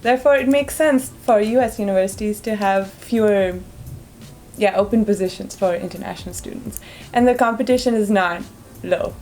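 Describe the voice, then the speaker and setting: A young woman from India, a student guest in an interview, speaking frankly with quick asides. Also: mid-range voice, darkish tone. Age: young adult. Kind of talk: casual interview answers.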